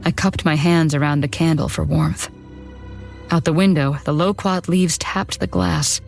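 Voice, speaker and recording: A woman's voice: low voice, steady tone, measured pace, vocal fry. A woman narrating a first-person horror story in a dramatic storytelling style. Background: background music.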